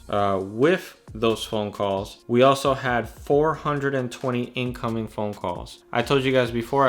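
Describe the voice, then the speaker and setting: A man hosting a vlog, explaining things casually and speaking directly to his viewers. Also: medium pitch. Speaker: vlog host, real estate wholesaler. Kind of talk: explanatory vlog talk to camera. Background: background music.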